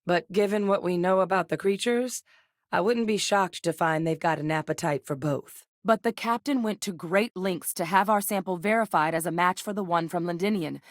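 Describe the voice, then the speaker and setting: A woman's voice: fairly low pitch, normal speed, no echo, studio quality, lightly acted. A woman voicing a composed soldier-turned-officer. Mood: steady. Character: composed female military officer, former soldier. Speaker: game character. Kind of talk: game voice lines.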